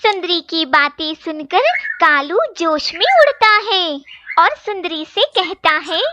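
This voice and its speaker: A woman narrates a scripted children's story in a very high, bright voice. She reads at a slow pace, and the recording is lo-fi with clear noise. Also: expressive. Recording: dull lo-fi sound, clear noise.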